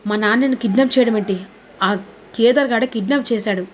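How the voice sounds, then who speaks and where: A woman's voice: on the high side, brisk, a little hiss or hum, close to an everyday voice, poor audio. Woman voicing a hot-headed accusing young man. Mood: angry, threatening. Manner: blaming, repetitive, confrontational.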